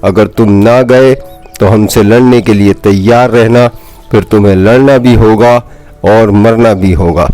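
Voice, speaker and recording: A man's voice: lowish voice, fairly even intonation, measured pace, dark tone, dry recording, close to an everyday voice. Man as arrogant crow leader, haughty, threatening, children's storybook villain, background music. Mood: haughty, menacing. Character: arrogant bullying male crow gang leader.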